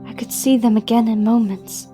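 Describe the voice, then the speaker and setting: A woman playing a gentle, wistful female creature in an audio drama, speaking in a hushed, measured way. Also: a little high, steady tone, soft background music, close to an everyday voice.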